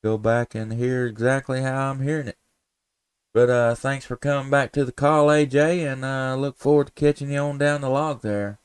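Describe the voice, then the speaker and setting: Guy doing ham radio calls, smooth clear voice, no room echo, polite and folksy. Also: medium pitch, steady tone, moderate pace. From southern Middle Tennessee, USA. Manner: folksy, courteous, radio jargon and spelled call signs. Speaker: amateur radio operator and livestreamer. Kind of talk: ham radio calling and casual contacts, live streamed.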